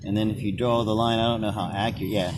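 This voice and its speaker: A man's voice: lowish voice, steady tone, rapid-fire. An American man from Florida in casual conversation, using simple, encouraging English for learners. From Florida, United States. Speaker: street interviewer and English conversation partner. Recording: noisy outdoor ambience. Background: clear ambient noise.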